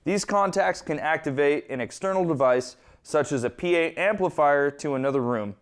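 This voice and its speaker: A man with a fairly high, clear voice walks through a technical tutorial. He explains each step informally and conversationally, like a hands-on instructor. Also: moderate pace. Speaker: instructor presenting an equipment tutorial.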